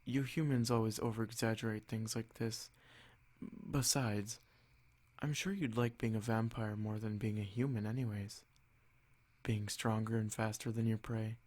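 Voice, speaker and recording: A man playing a noble vampire lord in an ASMR roleplay, haughty and possessive, speaking in a measured, condescending, softly threatening way. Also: on the low side, steady tone, natural voice. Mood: haughty, calm.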